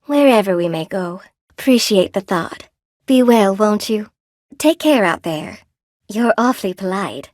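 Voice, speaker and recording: An actress voicing a friendly, lonely weaver spider woman in a video game, with a gentle, quaint, expressive and chatty manner. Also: mid-range voice, unhurried, dry recording, studio quality, natural voice. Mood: delighted, welcoming. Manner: gentle, quaint, folksy, expressive, self-effacing. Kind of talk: video game character acting.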